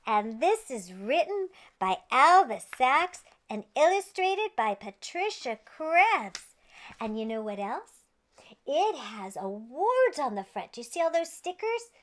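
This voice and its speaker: A woman storyteller with a very high, swooping voice reads a picture book aloud. She is playful and exaggerated, takes her time, and speaks straight to small children. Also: fairly bright tone. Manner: playful, exaggerated, talking directly to young children.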